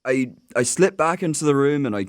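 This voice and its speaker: An actor playing an anxious, pleading male mathematician in a period audio mystery, with a halting, overwrought delivery. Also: medium pitch, lively intonation, smooth, dry recording, clean studio sound, close to an everyday voice. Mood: desperate, pleading.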